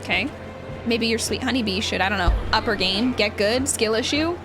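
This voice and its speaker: A woman's voice: on the high side, normal speed, rough recording. A woman giving casual game commentary as a streamer, chatty and playful, often repeating words. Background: game music.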